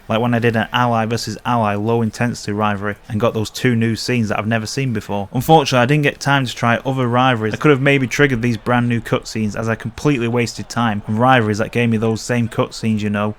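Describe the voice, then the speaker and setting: A man narrating a scripted video game review as commentary. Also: mid-range voice.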